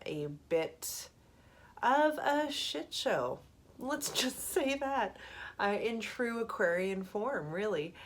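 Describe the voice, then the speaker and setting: A woman in her thirties with a head cold, chatting casually to camera from a small apartment studio. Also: lowish voice. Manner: chatty, rambling, with many ums and little laughs. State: congested from a cold. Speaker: knitting podcast host.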